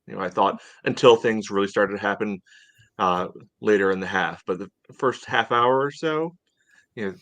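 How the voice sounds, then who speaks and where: A man co-hosting a podcast, talking through sports analysis casually in a measured, hedging way full of "you know". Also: fairly low pitch, fairly bright tone, dry recording. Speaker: podcast co-host.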